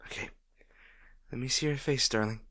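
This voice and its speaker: A man playing a gentle, protective boyfriend in an intimate roleplay audio, speaking in a tender, reassuring way. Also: medium pitch, normal speed, no echo, clean studio sound. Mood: tender, soothing. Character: gentle, protective boyfriend comforting a distraught partner.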